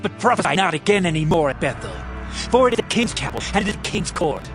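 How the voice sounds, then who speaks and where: A man's voice: high-pitched, animated, fast, over music. A man playing a haughty, accusing priest, scolding and declamatory, in a dramatized scripture story. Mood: scornful, commanding.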